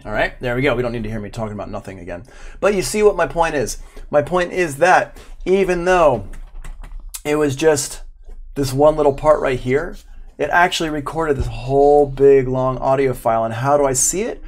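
A man's voice: mid-range voice, normal speed. A middle-aged man giving an informal live online lesson, chatting and thinking aloud as he demonstrates. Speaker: audio production instructor. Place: indoor room at home, live stream.

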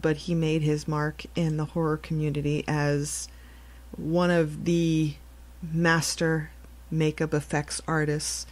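Woman, deep register, very slow, solemn announcement style, halting podcast host. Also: a little mellow.

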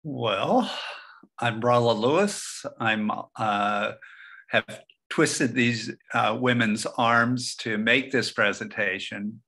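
An older man talks slowly over a video call from his study, his voice bright and forward. He rambles a bit, halting on fillers as he facilitates the session. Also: mid-range voice. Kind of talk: casual video call talk. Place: home study with bookshelves. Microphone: webcam on video call.

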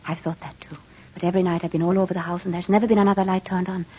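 An actress playing a frightened, fragile wife who doubts her sanity, pleading and halting in 1940s radio drama style. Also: lowish voice, flat delivery, darkish tone, lightly acted. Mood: anxious, weary. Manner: pleading, halting, breathy with fear. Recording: dull, noisy old radio recording.

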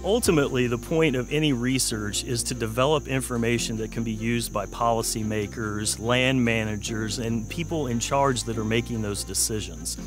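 A man giving a measured, formal explanation as a research spokesman in a documentary interview. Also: mid-range voice. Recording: music bed under voice.

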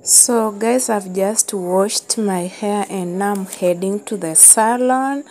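A woman's voice: mid-range voice, a crowd in the background. A young woman from Kenya talking to viewers in a casual vlog, filmed outdoors on a phone held at arm's length. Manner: slow, friendly, talks straight to viewers. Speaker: vlogger. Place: outdoors in a yard beside buildings. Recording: noisy outdoor phone recording. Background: loud noise from a nearby school.

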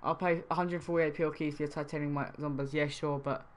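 A man's voice: fairly high pitch, flat delivery, brisk. A young man from Wales streaming a game trading session, chatting casually and reacting to his live chat.

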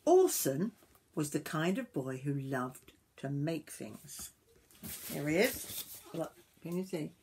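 A woman in her sixties reading a picture book aloud to young children like a grandmother, expressively, with drawn-out words and little asides to the listener. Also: sits low, very animated, darkish tone, breathy edge. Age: 60s. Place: small wooden summerhouse.

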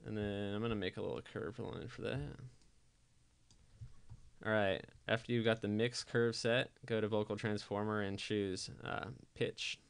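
A man giving a casual software tutorial voice-over, explaining things informally step by step. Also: on the low side, flat delivery, moderate pace, vocal fry, clear, resonant tone. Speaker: tutorial presenter.

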